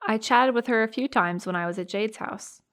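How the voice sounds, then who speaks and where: A young American woman playing a sympathetic, earnest high school girl recalling a friend in a naturalistic audio drama. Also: brisk, fairly bright tone, natural voice. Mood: fond, recounting.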